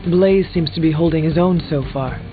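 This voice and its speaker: Man voicing a sarcastic male game hero, slightly high pitch, wry, irreverent, narrow phone-like band. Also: normal speed, lightly acted. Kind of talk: video game voice acting. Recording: thin phone-like band, faint music behind.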